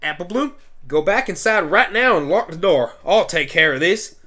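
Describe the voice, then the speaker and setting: A man voicing a stern, hurt adult mare, a protective big sister with a forceful scolding manner, in an amateur radio play. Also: sits high, animated, normal speed, heavily acted. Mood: angry, commanding.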